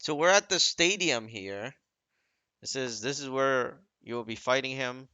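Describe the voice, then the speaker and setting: Man, medium pitch, bright forward tone, casual gaming commentator, unscripted, dry recording. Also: measured pace, clear, resonant tone, dry recording, dull audio. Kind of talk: casual off-the-cuff game commentary.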